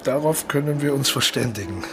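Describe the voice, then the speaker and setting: Actor as urbane, self-assured aging colonel. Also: male voice, medium pitch, fairly quick, noisy background, lightly acted. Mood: urbane, bored. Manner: authoritative without barking, politely bored. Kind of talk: noir radio play dialogue.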